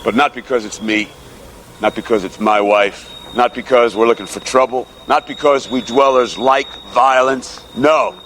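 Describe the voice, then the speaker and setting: An actor playing an overbearing town boss in a screen drama, haranguing people with forceful rhetoric. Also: male voice, medium pitch, fairly even intonation, normal speed, close to an everyday voice. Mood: rallying. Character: overbearing bullying town boss rallying a mob. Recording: noisy film soundtrack with crowd.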